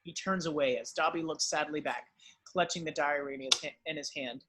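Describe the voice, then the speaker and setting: A man's voice: on the high side, fairly quick, heavy background noise. A man reading screenplay stage directions as dramatic scripted narration.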